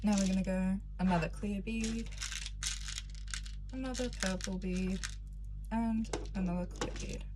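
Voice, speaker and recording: A woman's voice: mid-range voice, a little slow, long pauses. Young woman, a bit sick, patient step-by-step craft instructions, noisy home recording. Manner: calm, patient instructions, counting out beads. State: recovering from a cold with a cough. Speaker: craft tutorial maker. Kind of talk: step-by-step beading tutorial.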